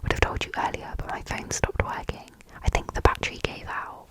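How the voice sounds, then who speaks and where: Woman whispering a story softly. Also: fairly quick. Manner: soft unvoiced whisper throughout. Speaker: ASMR storyteller. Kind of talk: whispered ASMR story reading.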